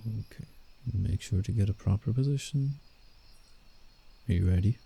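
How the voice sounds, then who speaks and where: A man playing a guarded, teasing but soft-hearted werewolf in a scripted roleplay ASMR drama, speaking in a measured, reassuring way. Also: lowish voice, vocal fry, breathy edge, heavily acted. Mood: playful, reassuring. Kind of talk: roleplay ASMR, scripted character acting with inner monologue.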